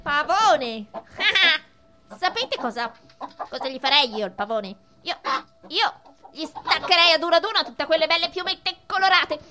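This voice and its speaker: A woman playing a mischievous, mock-courteous little boy in a theatrical radio play, excitable and given to repeating phrases. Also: sits high, measured pace. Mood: manic glee. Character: mischievous, cheerfully wicked little rascal boy of marble.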